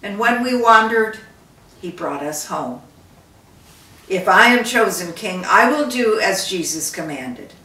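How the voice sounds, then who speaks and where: An older woman reading the part of a gentle, devout prince with a reverent, measured delivery. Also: medium pitch, lively intonation. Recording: roomy, distant microphone.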